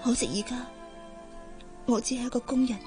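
A woman's voice: on the high side, little pitch movement, a little fast, music in the background, natural voice. A woman with a Hong Kong Cantonese accent playing an earnest, lovesick young maid in a radio drama, deferential and wistful. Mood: yearning, resigned.

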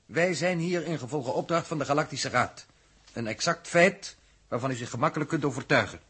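A man's voice: a little high, a little fast, background noise, close to an everyday voice. An actor playing a cautious, literal-minded spaceman, hesitant and precise, in a 1960s science-fiction radio drama. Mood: precise, formal.

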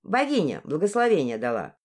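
A woman's voice: deep voice, wildly swooping pitch, a little fast, darkish tone, heavily acted. A woman voicing a folksy, carefree but weary young man in a fairy-tale audiobook, drawling in rustic dialect. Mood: weary, resigned. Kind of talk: audiobook character voice.

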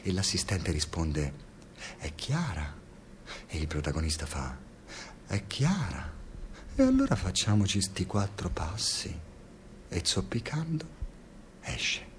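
Rambling, theatrical older man, very animated. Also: low-pitched, slow pace, pauses often, slight background noise, heavily acted. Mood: hushed narrating. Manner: rambling, theatrical, self-satisfied storyteller with hesitations. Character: talkative, self-absorbed older man, a client of young men. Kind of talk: radio drama acting.

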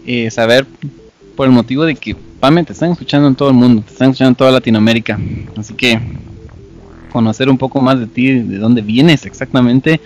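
A man's voice: medium pitch, a little fast, soft background music. A man hosting a podcast from Guatemala with a Guatemalan accent, talking at length in an enthusiastic way. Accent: Guatemalan Spanish. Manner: enthusiastic, long-winded. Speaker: podcast host. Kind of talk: casual podcast interview.

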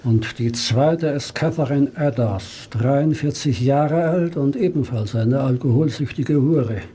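An actor playing an authoritative, businesslike police inspector with a husky voice in a German radio play. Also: male voice, medium pitch, measured pace, warm tone. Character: brisk, commanding male police inspector.

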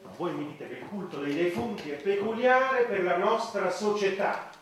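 Cynical minister, radio satire: bright, forceful, high voice, grand oratory, heard distant and reverberant. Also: male voice, moderate pace, slight background noise. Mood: coolly argumentative. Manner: declamatory, oratorical, rhetorical questions. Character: fervent, cynical male government minister making grand proposals. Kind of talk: satirical radio theatre acting. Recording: very echoey, distant microphone.

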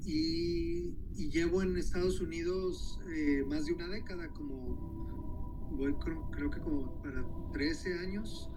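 A man's voice: fairly high pitch, slow, noisy background. A Mexican man, a filmmaker, answering interview questions in a hesitant way, with many fillers and restarts. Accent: Mexican Spanish.

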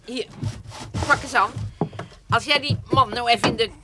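A woman's voice: fairly high pitch, normal speed, close to an everyday voice. An actress playing a stubborn older farm grandmother in a radio play, firm, curt and no-nonsense. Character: brisk, stubborn farm grandmother who brooks no argument. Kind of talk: Dutch radio play acting. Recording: very noisy radio play recording.

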